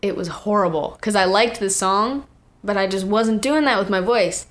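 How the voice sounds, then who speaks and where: A woman's voice: mid-range voice, normal speed. Teenage girl, casual storyteller. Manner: animated retelling with acted-out quotes and frequent "like". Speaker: young singer and songwriter, interview guest.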